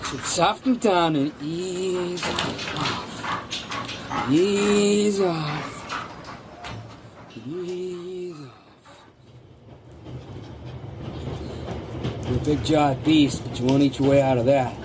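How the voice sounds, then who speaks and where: An actor playing a sly gardener turned dog thief in a radio drama, coaxing and theatrical, repeating himself. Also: male voice, on the high side, slow, pauses often, heavy background noise. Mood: sly, menacing.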